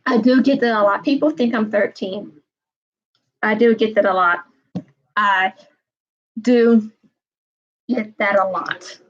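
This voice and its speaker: A woman's voice: mid-range voice, fairly even intonation, slow pace, long pauses, dull audio. A woman in her twenties chatting casually on a live stream, wandering and repeating herself. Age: 20s. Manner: meandering, repeats herself, frequent "um". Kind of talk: rambling casual live chat.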